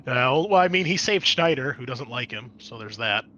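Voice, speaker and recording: A man's voice: medium pitch, expressive, normal speed, sharp tone, muffled recording, a little hiss or hum. A man narrating a tabletop roleplaying game as game master, moving between dramatic scene-setting and casual asides.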